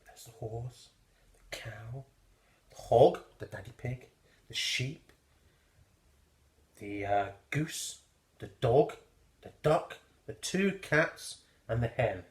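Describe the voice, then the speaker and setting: Casting: man as children's storyteller, theatrical and clear in explaining, slow, in an echoing room. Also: mid-range voice, expressive. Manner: theatrical, explains things simply for small children. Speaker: storybook reader. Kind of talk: expressive children's story narration.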